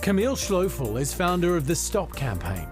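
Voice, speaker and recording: A man reading nominee profiles as scripted voice-over in a measured, formal way. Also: fairly high pitch, big pitch swings. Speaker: announcer. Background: music.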